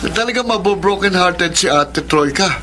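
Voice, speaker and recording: A man's voice: sits high, animated, moderate pace, pushed and bright. A young man playing a blunt, teasing younger brother in a Tagalog radio drama. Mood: mocking amusement. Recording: noisy background ambience.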